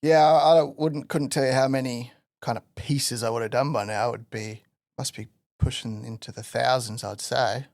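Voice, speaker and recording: Relaxed, rambling man. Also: mid-range voice, moderate pace, creaky, dry recording. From Western Australia. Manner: relaxed rambling storyteller with many fillers. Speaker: guest artist. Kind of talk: casual podcast interview.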